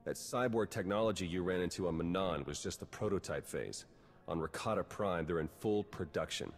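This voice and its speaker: A man's voice: low-pitched, a little fast, in a large hall. A man playing a wry, driven young spy agent in a video game, with a dry, sardonic manner. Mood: serious, briefing. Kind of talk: video game cutscene acting.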